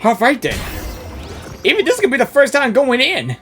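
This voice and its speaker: A woman's voice: high-pitched, wildly swooping pitch, normal speed, a bit bright. A woman gamer giving casual reaction commentary, rambling, repeating words and exclaiming as she goes. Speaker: gamer reacting to a story quest. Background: game music.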